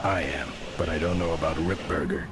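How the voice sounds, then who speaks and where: An actor playing a gruff, laconic biker with a deadpan manner in a video game. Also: male voice, a bass voice, a little fast, over loud music.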